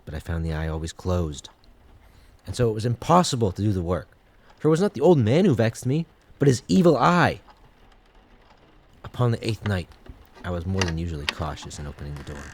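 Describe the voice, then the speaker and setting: Man acting a deep-voiced, obsessive gothic madman. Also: expressive, fairly quick, long pauses, a little hiss or hum. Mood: hushed, stealthy, then vehement. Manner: intense, theatrical, swinging from hushed to loud. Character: obsessive madman narrator confessing a murder, insisting on his sanity. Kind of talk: dramatic first-person gothic narration, audio drama.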